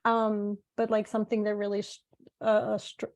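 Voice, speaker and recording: A woman's voice: mid-range voice, completely flat delivery, normal speed, a little mellow. A woman leading an online workshop, explaining things in an informal, conversational lecture style. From born in the United States to Italian parents, spent half of life in Italy. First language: bilingual. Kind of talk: informal lecture and group facilitation.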